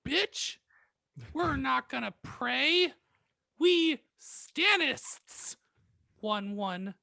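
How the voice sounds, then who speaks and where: Woman as a melodramatic goth teen, brash, very slow drawl, creaky edge, comic fan fiction reading. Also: sits high, lively intonation, pushed and bright, dry recording, studio quality, full character voice. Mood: defiant. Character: brash, defiant, foul-mouthed teenage goth.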